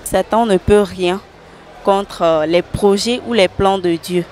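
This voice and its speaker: A woman's voice: medium pitch, slow, darkish tone. A woman giving an interview testimony into a handheld microphone in a large open hall. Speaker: convention attendee. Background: faint ambient noise.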